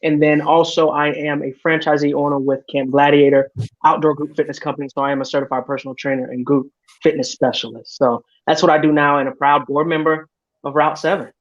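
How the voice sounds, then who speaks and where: A man's voice: on the high side, level tone, fairly quick, highs cut off. A man from Florida, a certified personal trainer, speaking in a cheerful, upbeat way.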